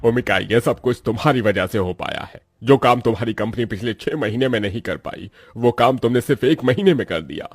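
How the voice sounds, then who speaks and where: A stern but fair company chairman from a cartoon morality tale, acted by a man. His pitch is average and his manner formal and commanding. The voice is recorded dry and close. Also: lively intonation, a little fast, hardly any pauses, breathy edge, dry recording. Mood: impressed, approving. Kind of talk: scripted cartoon moral story acting.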